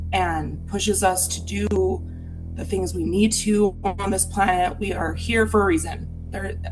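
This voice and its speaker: A woman's voice: mid-range voice, low-quality recording. A woman from Utah telling a personal ghost story in an earnest, candid, reflective way.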